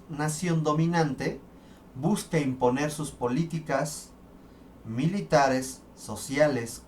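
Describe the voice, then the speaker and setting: A man's voice: fairly high pitch, darkish tone. A man giving a recorded history lesson, explaining step by step with fillers and restarts. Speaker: history lecturer. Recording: distant mic, faint background noise.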